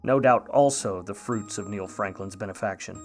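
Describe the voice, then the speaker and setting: An actor playing a grudging, wry, world-weary 1950s Hollywood private eye, narrating in old-time radio noir style. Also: male voice, on the low side, normal speed, soft background music, lightly acted. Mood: wry, watchful.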